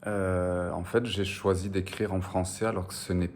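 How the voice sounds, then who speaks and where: A man with a very low, gravelly voice that barely moves in pitch, speaking slowly and hesitantly with many fillers. He grew up on the French Basque border with Spanish as his first language. A faint hiss sits under the recording. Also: level tone, darkish tone. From French Basque Country, on the border. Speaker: novelist being interviewed. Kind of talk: reflective interview answers.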